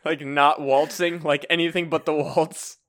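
A young man with a slightly raised pitch rambles through a casual comedy podcast. He swears freely and keeps breaking into laughter as he goes off on tangents. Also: flat delivery, a little slow, a bit bright. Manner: rambling, profane, laughs often. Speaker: podcast co-host.

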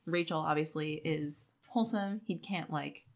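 A woman's voice: low-pitched, moderate pace. A woman co-hosting a casual book discussion, analysing characters in an informal, conversational way peppered with "like". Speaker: podcast co-host.

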